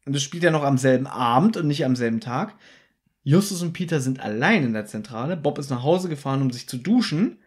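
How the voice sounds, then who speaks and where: A man's voice: mid-range voice, a little fast. A man co-hosting a podcast, retelling a story in great detail in casual chat. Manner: detailed, animated storyteller who acts out quotes. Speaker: podcast co-host.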